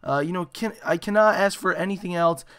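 A young man with a hoarse, raspy voice, giving excitable gameplay commentary full of "you know" and "uh". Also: high-pitched, a little fast. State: hoarse after a concert. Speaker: gaming channel host. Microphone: desktop microphone.

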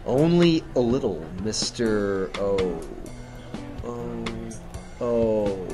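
An actor playing a dry, defiant speakeasy owner in an old-time radio drama. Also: male voice, lowish voice, drawn-out words, loud music behind the voice. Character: stubborn speakeasy owner, grown man, wry.

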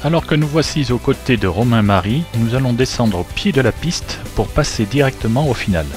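A man's voice: mid-range voice, lively intonation, a little fast, music in the background. A man narrating a ski race report as voice-over.

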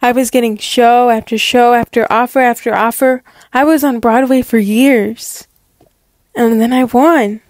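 High-voiced woman narrating, close and dry. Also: steady tone, measured pace, darkish tone, dry recording. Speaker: storyteller and channel host. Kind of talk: casual vlog-style narration.

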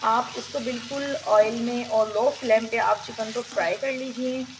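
Woman, cooking tutorial voice-over, calm step-by-step instructions, higher pitch. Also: measured pace, background noise. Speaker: cooking channel host. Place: home kitchen.

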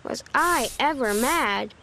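A woman narrating a children's storybook in an expressive, deliberate way, stretching key words. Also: high-pitched, slight background noise, rough recording.

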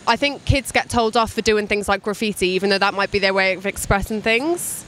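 A woman's voice: medium pitch, brisk, a bit bright. A young woman from Wales answers casually and a little hesitantly into a handheld mic on a city street. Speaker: interviewee. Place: busy city street outdoors.

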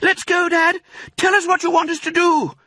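A man voicing eager young fox cubs calling to their father in a high voice. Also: moderate pace, no echo, studio quality, heavily acted. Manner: high, pleading, excited.

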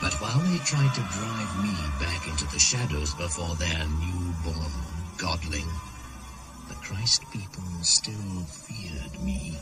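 An ancient shaman spirit speaks in a deep, unhurried voice over clearly audible music. He is proud and brooding, chanting his poetic lines like solemn incantations in an old radio play. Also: animated, low-quality recording. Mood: bitter, proud. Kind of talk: dramatic radio play monologue. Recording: 1980s radio drama with music behind.

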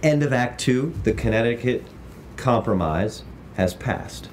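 A man's voice: medium pitch, animated, measured pace, a little mellow, a little hiss or hum. A man co-hosting a history podcast, asking questions and summing up the story in plain talk. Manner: paraphrases others in acted quotes, prompting questions. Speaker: podcast co-host and professor. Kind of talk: conversational history discussion.

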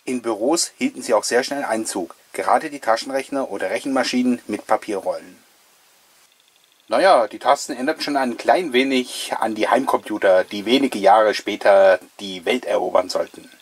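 A man's voice: mid-range voice, moderate pace, slightly rough. A man, giving chatty, playful, nostalgic commentary as the host of a casual video show. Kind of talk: casual, jokey commentary on an old mail-order catalogue.

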